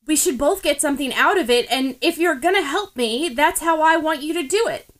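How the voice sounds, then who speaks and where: Female reader as earnest, argumentative teen girl, pitched high, insistent, for narrated fan fiction. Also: animated, a little fast, a bit bright. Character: determined, argumentative teenage girl in combat training.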